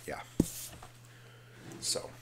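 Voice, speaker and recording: A man hosting a casual, rambling ASMR video, slipping between vocal fry and whispering and often repeating his phrases. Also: very slow, background noise. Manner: alternates hushed fry and whispering.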